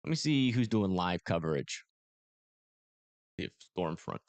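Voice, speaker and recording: A man with a hoarse, strained voice, casually and self-deprecatingly talking through live radar coverage as a livestream host. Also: low-pitched, big pitch swings, measured pace, dry recording. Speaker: storm-chasing livestream host. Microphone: close desk microphone.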